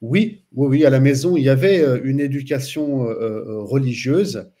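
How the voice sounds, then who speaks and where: A man in his forties from France, a teacher telling his story as a guest in a relaxed interview, pausing often with fillers. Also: mid-range voice. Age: 40s. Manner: hesitant, frequent pauses and fillers. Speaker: guest, Islamic studies teacher. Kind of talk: casual interview chat.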